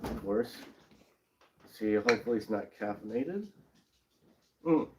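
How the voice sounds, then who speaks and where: A man's voice: lowish voice, lively intonation, measured pace, background noise. A young man co-hosting a casual comic-book live stream in a laid-back, joking way. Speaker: co-host.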